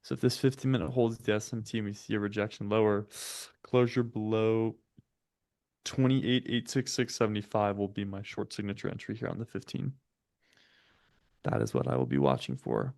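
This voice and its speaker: A man's voice: fairly low pitch, normal speed, creaky, a little mellow, dry recording, clean studio sound. A man raised in the States, French his first tongue, hosting a live trading stream and calling out price levels and setups methodically while chatting with viewers. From United States. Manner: chats with viewers between chart reads. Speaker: day-trading stream host. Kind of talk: live market commentary.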